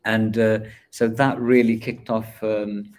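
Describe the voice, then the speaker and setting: A man's voice: fairly low pitch, little pitch movement, slow pace, a little mellow. A man who is an artist, talking reflectively about his work with many hesitant fillers. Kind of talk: livestream discussion.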